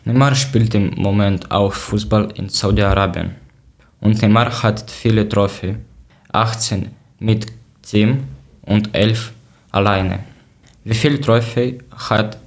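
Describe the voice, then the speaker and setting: Co-Moderator aus der Ukraine, tiefe Stimme, einfaches Lerner-Deutsch. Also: male voice, on the low side, fairly even intonation. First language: not German. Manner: plain, measured reading with short sentences. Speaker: podcast co-host. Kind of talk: reading prepared talk in learner German.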